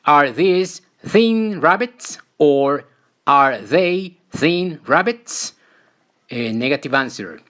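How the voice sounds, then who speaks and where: A man reading a scripted beginner language lesson as a teacher, deliberately delivering short example sentences phrase by phrase. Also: fairly high pitch, expressive, fairly bright tone. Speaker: English teacher.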